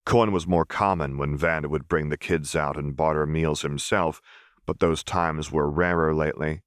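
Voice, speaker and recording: Low male audiobook narrator, calm and dry. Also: normal speed, no room sound. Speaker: audiobook reader. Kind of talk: scripted narration.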